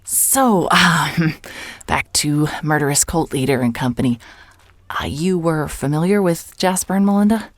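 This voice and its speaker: A woman's voice: low-pitched, unhurried. An actress playing a hard-hearted, weary female private investigator in an audio drama, with a dry, sardonic delivery. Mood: awkward, probing. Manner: deadpan, sardonic. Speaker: narrator and lead character. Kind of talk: cinematic audio drama, first-person noir narration and dialogue.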